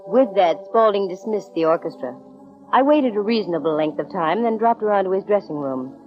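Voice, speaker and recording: An actress playing a brisk, wry young American private detective in 1940s radio drama style. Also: female voice, medium pitch, animated, over music, lightly acted. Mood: wry narrating. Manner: wry, breezy, hard-boiled. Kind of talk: 1940s radio acting, first-person detective narration. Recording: dull, band-limited old radio recording.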